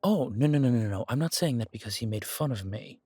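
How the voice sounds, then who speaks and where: Guy playing a smitten mafia boss in an audio roleplay, raspy lowish voice, teasing but sincere, really dry close mic. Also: animated, quick pace, warm tone, no echo, lightly acted. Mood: playful, bantering. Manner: teasing, earnest, conversational with hesitations. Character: charming smitten male mafia boss on a first date. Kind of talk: intimate audio roleplay acting.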